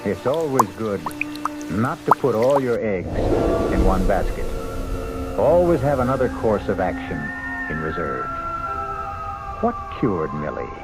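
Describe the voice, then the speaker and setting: An actor playing a sly, cryptic man in a radio drama, musing in a lively voice. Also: on the low side, measured pace, pauses often. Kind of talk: 1970s radio drama acting. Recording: old radio broadcast with music underneath.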